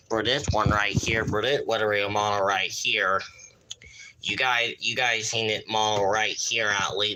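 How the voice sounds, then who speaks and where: A man casually presenting on a live stream in a halting, repetitive way, often losing his thread. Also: fairly low pitch, bright, forceful tone, rough recording. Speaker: live streamer showing a radio collection.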